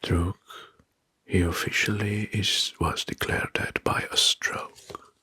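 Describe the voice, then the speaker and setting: A man narrating in a whispered ASMR style, slow and deliberate with a breathy voice, close to the mic. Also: sits low, fairly even intonation, no echo. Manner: slow, deliberate, repeats words softly. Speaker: host of ASMR history video. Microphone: close mic, dry.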